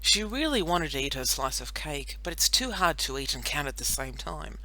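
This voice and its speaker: A woman narrating a children's audiobook in an animated storytelling style. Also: deep voice, moderate pace, rough recording.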